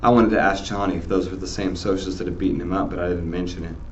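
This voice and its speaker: Deep voiced guy, teacher reading a novel out loud, muffled sound w/ a bit of noise. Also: fairly even intonation, quick pace. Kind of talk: novel read-aloud narration.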